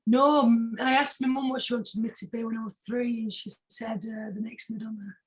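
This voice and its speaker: A British woman with a husky voice chatting casually as a singer being interviewed, informal and emphatic, often repeating herself for stress. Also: a little high, steady tone, fairly quick, low-quality recording. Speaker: singer-songwriter guest.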